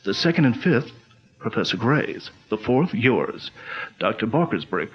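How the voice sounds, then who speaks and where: A calm, commanding pulp hero. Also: male voice, on the low side, soft background music. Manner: measured, authoritative. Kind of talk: 1940s radio acting. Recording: dull lo-fi old-radio sound.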